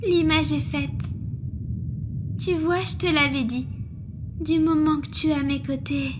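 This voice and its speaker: A woman voicing a gentle, protective water monster girl nurse in an ASMR roleplay, soothing and attentive. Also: high voice, fairly even intonation, a little slow. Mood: calm, encouraging. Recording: clearly noisy background with ambience.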